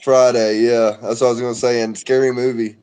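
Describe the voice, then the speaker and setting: A man in his mid-thirties co-hosting a relaxed chat among friends. His voice sits at an ordinary middle pitch. He talks loosely, swears freely and laughs often. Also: a little fast, clean tone. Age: 30s. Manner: loose and profane, laughs easily. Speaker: podcast co-host. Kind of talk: casual chat.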